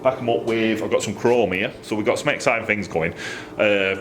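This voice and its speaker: A middle-aged man with a fairly low voice talks casually and enthusiastically, rambling a little and poking fun at himself. He records in his own workshop, with a faint hum of noise behind him. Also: fairly quick, fairly bright tone. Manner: chatty, rambling, self-deprecating, enthusiastic. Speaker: motorcycle exhaust maker. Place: indoor workshop.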